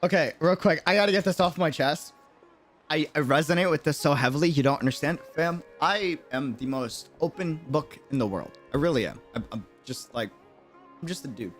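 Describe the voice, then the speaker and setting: A man's voice: on the high side, brisk, soft background music. A young American man reacting casually as a game streamer, slangy and emphatic, often repeating himself for effect. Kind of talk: casual livestream reaction commentary.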